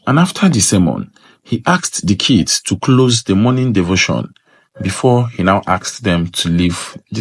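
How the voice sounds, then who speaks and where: A man's voice: on the low side, slightly rough, dry recording. A man narrating a scripted folktale as a storyteller.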